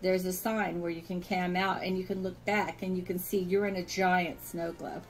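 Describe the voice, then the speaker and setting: An American woman from California talks in a fairly low voice. She is informal and prone to chuckling, like a builder showing guests around. The voice-chat audio carries some faint background noise. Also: level tone, normal speed, rough recording. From California, USA. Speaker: virtual world builder giving a tour. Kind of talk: casual tour chat.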